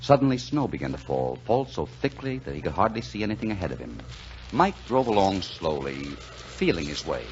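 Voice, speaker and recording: An actor playing a solemn storyteller, narrating a 1940s radio drama in a measured, dramatic way. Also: male voice, low voice, sing-song, darkish tone. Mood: solemn, suspenseful. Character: solemn male storyteller of a Christmas tale. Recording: dull, noisy 1940s radio recording.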